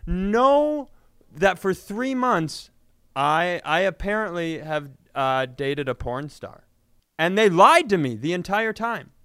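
Smooth-voiced, higher-pitched man, self-deprecating. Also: lively intonation, slow, fairly bright tone, no echo, clean studio sound. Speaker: podcast co-host. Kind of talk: casual comedic storytelling.

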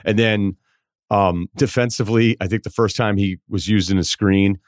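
Male sports host, lower register, conversational commentary, dry recording. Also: steady tone, normal speed, dry recording.

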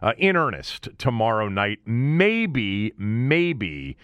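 A man's voice: medium pitch, very animated, slow pace, fairly bright tone, clean tone, no room sound. A man hosting a sports show, talking casually and repeating himself for emphasis. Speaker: sports talk show host.

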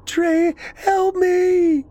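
Man voicing a shrill, frantic young woman. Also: lively intonation, takes it slowly, exaggerated voice. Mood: screaming for help. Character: frantic, angry young woman pleading in terror. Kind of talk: horror audiobook character acting.

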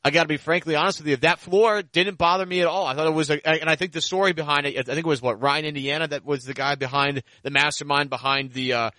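Male podcast host for sports talk: bright, forward voice on the higher side, close dry sound, conversational and wordy. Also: steady tone, quick pace, few pauses, no echo. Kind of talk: sports podcast hosting and interview.

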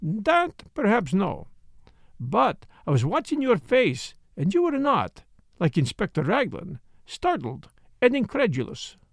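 Man voicing a fussy Belgian sleuth, French accent, precise and mannered, pitched up, gravelly edge. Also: wildly swooping pitch, unhurried, no room sound, clean studio sound, full character voice. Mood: smug, teasing. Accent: French, put on. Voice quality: mannered, precise, self-satisfied, sprinkles in foreign words. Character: fussy, smug middle-aged male Belgian detective. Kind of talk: audiobook character voice.

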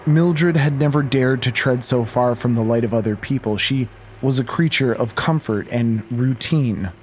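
Folksy male horror storyteller, mid-pitched. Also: moderate pace, a little hiss or hum. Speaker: narrator of horror audio drama.

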